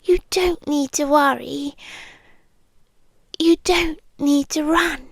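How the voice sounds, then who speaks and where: A woman plays a British vampire girlfriend in an intimate ASMR roleplay, her voice high and extremely slow. She is gentle and coaxing, yet possessive and quietly menacing. Her soft words linger and often drop into whispers, very close and dry on the mic. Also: gravelly, dry recording, full character voice. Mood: soothing, controlling. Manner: soft, deliberate, lingering pauses, slipping into whispers. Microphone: close microphone, dry.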